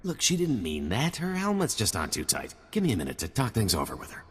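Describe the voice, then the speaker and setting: A wary mercenary sidekick for a video game. His voice is deep and husky with hall reverb, and he talks bluntly and informally. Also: huge pitch swings, a little fast, heavily acted. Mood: wry, persuasive. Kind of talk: video game dialogue acting.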